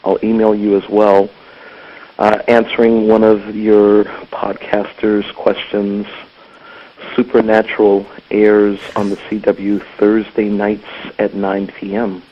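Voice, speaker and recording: A man's voice: on the low side, completely flat delivery, slow. A man leaving an informational voicemail message as a caller. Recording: muffled lo-fi voicemail phone recording.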